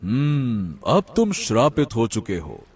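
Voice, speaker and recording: A man playing an imposing river god in an animated fairy tale, husky, grave and solemn. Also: mid-range voice, exaggerated voice. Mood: grave pronouncement. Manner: grave, deliberate, pronouncing.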